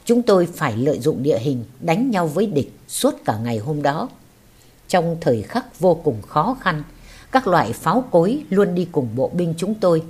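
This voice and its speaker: A woman with a husky voice reading a war memoir as scripted audiobook narration. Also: deep voice, big pitch swings, moderate pace, a little mellow.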